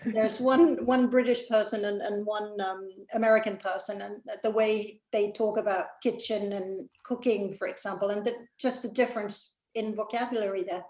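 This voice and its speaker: A woman's voice: mid-range voice, steady tone, normal speed, hardly any pauses, a little mellow. Finnish woman with a mid-Atlantic lilt, echoey call. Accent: Finnish, with a mid-Atlantic lean. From Finland. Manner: thoughtful, hesitant with many ums, laughs easily. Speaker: guest, executive director. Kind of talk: casual interview chat. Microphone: video call.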